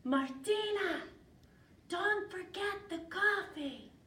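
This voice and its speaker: Woman putting on a meddling grandmother cockroach, animated. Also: high voice, moderate pace, distant mic, voice pushed up. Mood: insistent. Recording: echoey room from afar, noisy.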